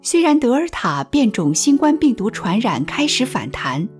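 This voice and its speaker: A woman narrating a scripted radio drama in a measured, dramatic manner. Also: on the high side, big pitch swings, music in the background.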